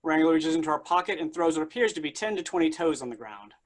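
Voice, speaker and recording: A man's voice: fairly high pitch, animated, fast talker. A man reading stage directions aloud as the narrator of a staged play reading.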